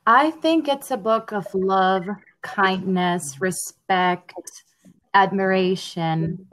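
A young woman from Honduras talking on a headset over a video call, thoughtful and pausing with ums. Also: mid-range voice, a bit bright, low-quality recording. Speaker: language learner. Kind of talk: casual video call conversation. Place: home room.

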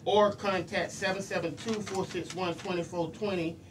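A man's voice: high voice, steady tone, normal speed. A middle-aged man hosts a casual live radio show, talking colloquially and earnestly and repeating himself. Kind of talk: casual live radio talk with shout-outs. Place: small radio studio, indoors. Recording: noisy, distant room microphone.

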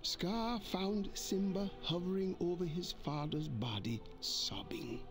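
A man narrating a storybook read-along in an unhurried, expressive way. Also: high voice, over music.

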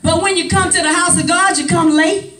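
High-voiced woman pastor preaching in church. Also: steady tone, fairly quick, a crowd in the background. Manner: call-and-response preaching, sing-song rises, conversational asides. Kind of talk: sermon. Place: small church sanctuary, preaching at a clear pulpit on a low stage. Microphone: handheld mic, filmed by a far camera. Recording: roomy church sound from a distance with congregation. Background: congregation calling back.

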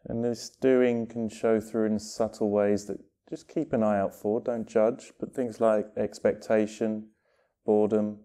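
A man leading a guided meditation with gentle instruction, speaking in unhurried phrases separated by long pauses. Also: lowish voice, little pitch movement, smooth.

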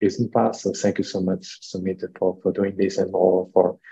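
A man speaking at an online meeting, halting and repeating words as he goes. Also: low voice, flat delivery, dark tone. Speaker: executive director. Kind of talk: casual meeting talk. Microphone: video call. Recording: dull call audio.

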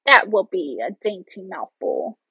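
A woman's voice: fairly low pitch, sing-song, a little slow, creaky, pushed and bright, breathy edge, dry recording, muffled recording, heavily acted. A woman voicing a wicked old witch in a storybook, cruel and mocking, scolding and giving orders. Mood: mocking, gloating. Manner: scolding, commanding.

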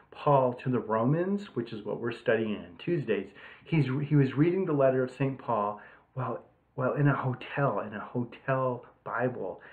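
A middle-aged man gives informal devotional teaching in a conversational tone, repeating key phrases. His medium-pitched voice comes through a narrow, phone-like webcam recording. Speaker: priest leading an online retreat. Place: small room at home. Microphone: webcam at arm's length. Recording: muffled, phone-like webcam audio.

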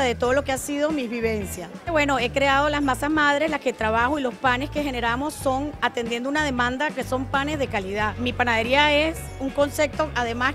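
High-voiced woman explaining her bakery. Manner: explanatory, detailed, fluent. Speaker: artisan bakery founder. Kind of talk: interview answers. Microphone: handheld interview mic.

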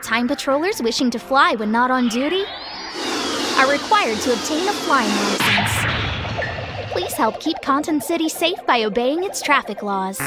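High-pitched official young guardian goddess. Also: female voice. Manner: instructive, formal. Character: cheerful, official young female guardian deity briefing recruits. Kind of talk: scripted announcement.

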